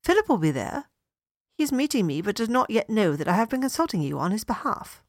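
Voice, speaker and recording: A woman's voice: mid-range voice, very animated, a little fast, a little mellow, no room sound, clean studio sound. A woman voicing an earnest young fiancée who speaks politely and eagerly.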